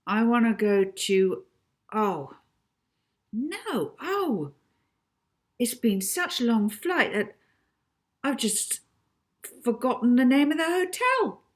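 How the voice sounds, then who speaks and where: A woman voicing a plucky, self-assured young girl who travels alone and bluffs her way along, in a storybook reading. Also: mid-range voice. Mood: defiant.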